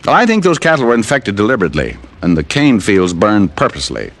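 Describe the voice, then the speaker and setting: Wisecracking private eye, low and lively, old radio broadcast, faint music behind. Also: male voice, quick pace, full character voice. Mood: wry. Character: wisecracking male private detective narrator. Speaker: detective and narrator. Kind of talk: 1950s radio drama acting.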